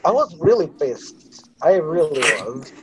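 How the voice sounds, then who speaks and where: A man's voice: on the high side, very animated, a little slow, background noise. A man with a non-native English accent chatting casually in a group, blunt, defensive and given to swearing. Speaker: game contestant.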